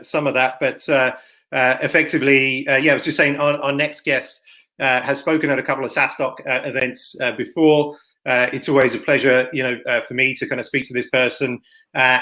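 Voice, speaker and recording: A man hosting an online event in a casual, unscripted way, with halting, informal delivery. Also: medium pitch, sharp tone. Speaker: event host and moderator. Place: online video session. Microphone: computer microphone, plugging in another mic partway.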